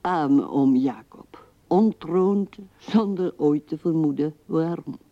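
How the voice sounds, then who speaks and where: A woman's voice: low-pitched, gravelly, exaggerated voice. An actress playing an old widowed woman who muses tenderly and drifts as she talks to herself in a radio play, on a dull older recording. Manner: musing, drifting, talking to herself and the absent.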